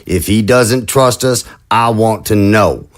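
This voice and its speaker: An actor playing a leader who weighs advice and gives orders in a measured, authoritative way, in a radio drama. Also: male voice, fairly low pitch. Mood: commanding. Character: measured male leader, commanding and diplomatic. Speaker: head of state giving orders.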